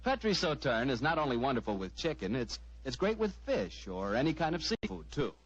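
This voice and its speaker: An American man announces an old radio show with folksy enthusiasm. His medium-pitched voice swoops with lively intonation. The recording is dull and vintage. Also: a little fast. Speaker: announcer and host. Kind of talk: 1940s radio sponsor pitch and hosting.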